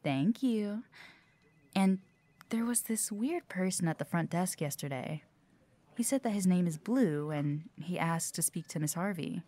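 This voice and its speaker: Woman playing a friendly low-voiced female office worker. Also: expressive, measured pace, mellow. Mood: friendly, chatty. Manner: chatty, supportive. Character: friendly, chatty, curious female office worker, former assistant. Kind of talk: audio roleplay acting.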